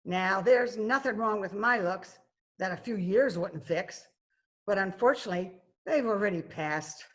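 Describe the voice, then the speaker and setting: A woman's voice: medium pitch, a little slow, close to an everyday voice. An actress playing a brash, joking, aging cleaning woman in an old-time radio detective drama. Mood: cheeky. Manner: brassy, joking banter. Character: brash, wisecracking aging scrubwoman, tough and sporty. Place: at home, recorded remotely.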